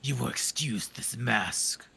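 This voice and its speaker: A man voicing a haughty, secretive male nobleman with a deep, harsh German accent, in an audiobook reading. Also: creaky, exaggerated voice. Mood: grave, guarded. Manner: low hushed delivery, halting.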